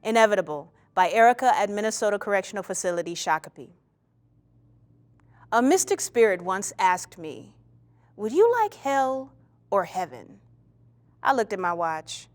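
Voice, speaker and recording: A woman's voice: medium pitch, lively intonation, moderate pace, pauses often. A woman actor giving a wry, emphatic dramatic recitation of poetry.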